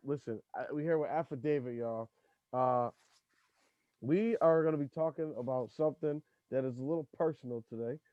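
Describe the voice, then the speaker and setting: A man's voice: medium pitch, normal speed, dry recording. A man hosts a podcast in easygoing, profane chat, often stopping and restarting his sentences. The mic sounds dry and a bit dull. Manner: loose, profane, talks in restarts. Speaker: podcast host.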